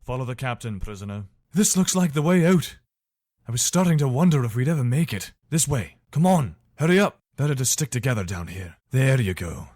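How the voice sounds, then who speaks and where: An earnest imperial soldier from a fantasy video game, acted by a man, with a mid-pitched male voice in a dry, close recording. He gives short, commanding orders like a weary but decent man bound by duty. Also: big pitch swings, a little fast, a little mellow, no room sound. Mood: solemn, regretful. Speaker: voice actor for a game mod.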